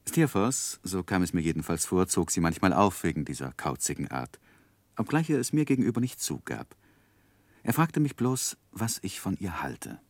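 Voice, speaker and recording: A reflective narrator in an old German radio play. His voice is deep, with wide, expressive intonation, recorded dry. He tells the story in measured, literary sentences. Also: dry recording, full character voice. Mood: observant. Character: David Copperfield as reflective adult narrator.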